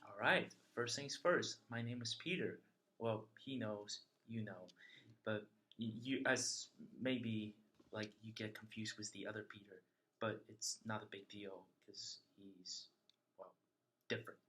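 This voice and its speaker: A man's voice: lowish voice, sharp tone. Chatty young man telling a travel story. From China. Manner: chatty, halting storytelling with many fillers. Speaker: interviewee sharing a travel story. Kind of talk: casual chat.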